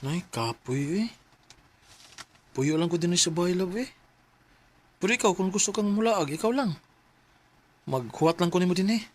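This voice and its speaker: A Cebuano actor playing a self-centred, stubborn young boyfriend in a radio drama, with a casual, dismissive manner. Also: male voice, sits high, lively intonation, normal speed, pauses often. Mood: reluctant. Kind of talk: Filipino radio drama acting.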